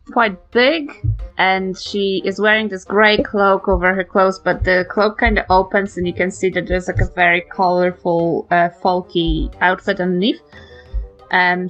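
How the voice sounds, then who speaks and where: A woman's voice: medium pitch, fairly even intonation, normal speed, a bit bright, muffled recording, faint music behind. A woman, talking casually as a player in a tabletop game. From New Zealand. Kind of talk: casual character description.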